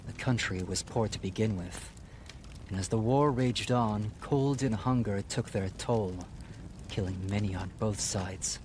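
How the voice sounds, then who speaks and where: An actor playing a solemn, measured man who recounts a war formally in a fantasy game. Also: fairly low pitch, noisy background, close to an everyday voice. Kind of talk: dramatic storytelling in a fantasy game cutscene.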